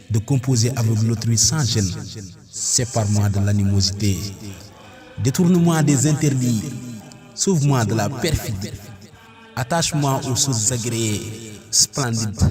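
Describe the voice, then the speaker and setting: Man reciting devotional poetry over prominent music. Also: lowish voice. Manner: declamatory, measured short phrases, pleading invocations. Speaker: poet reciting prayer poems.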